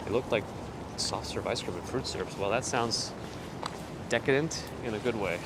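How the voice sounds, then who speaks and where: A man's voice: lowish voice, normal speed. A man hosting a live walking stream on a city street, chatting casually and answering viewer comments as he walks, recorded on a phone on a handheld gimbal. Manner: relaxed, conversational, reads out and replies to chat messages. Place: outdoors on a city street at sunrise. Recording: loud street noise outdoors. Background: city traffic and street noise.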